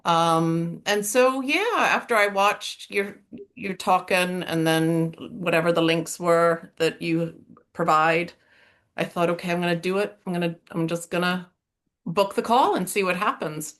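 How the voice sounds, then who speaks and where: A middle-aged woman with a somewhat low voice and an American-sounding accent talks openly on a video call. She speaks haltingly, stopping and restarting often and leaning on fillers. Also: a bit bright. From Northern Ireland. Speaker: coaching client. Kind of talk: candid interview.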